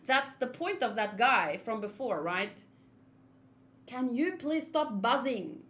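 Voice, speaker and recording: A woman's voice: fairly high pitch, animated, slow pace, fairly bright tone. A woman giving casual live game commentary, explaining her opinions in a chatty way as a streamer.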